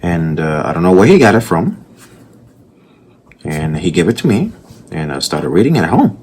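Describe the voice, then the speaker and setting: A man's voice: low voice, big pitch swings, normal speed, a little mellow, husky. A man from Puerto Rico with a strong Puerto Rican accent, telling rambling stories with restarts as he talks through his sketchbook art in a casual voice-over. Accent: Puerto Rican Spanish. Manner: rambling storytelling with restarts and asides. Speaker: artist narrating his sketchbook process.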